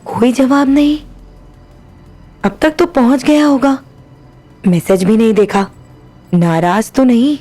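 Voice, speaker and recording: A woman's voice: on the high side, takes it slowly, long pauses, dark tone, faint music behind. A woman playing an anxious young wife who talks to herself in worried, pleading tones. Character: young married woman, anxious about her lover.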